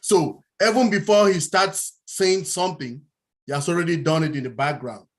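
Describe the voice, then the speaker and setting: A man speaking up on an online call, earnest and repetitive, circling back to the same point. His voice is fairly high for a man. He delivers it steadily, without hurry. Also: normal speed. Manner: earnest, repetitive, plain phrasing. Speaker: meeting participant. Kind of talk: reassuring remarks on a call. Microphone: video call.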